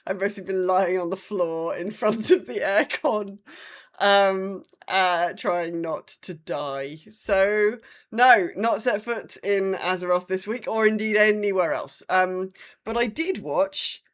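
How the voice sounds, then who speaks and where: A woman's voice: mid-range voice, slow. A woman co-hosting a gaming podcast with casual, hesitant, rambling chat. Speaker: podcast co-host.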